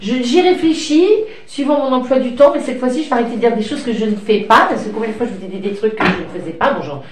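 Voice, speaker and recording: A woman's voice: on the high side, animated, fast. Stuffy-voiced woman in an echoey room. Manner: rambling, hesitant, with many fillers; affectionate, talks to her pet and child. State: has a cold, coughing. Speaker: tarot card reader. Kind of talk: casual card-reading chat. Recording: echoey room, distant mic, light noise.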